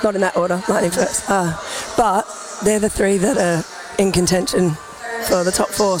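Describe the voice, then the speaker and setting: A woman's voice: medium pitch, rough recording. A woman, a netball head coach who is losing her voice, answering post-match interview questions.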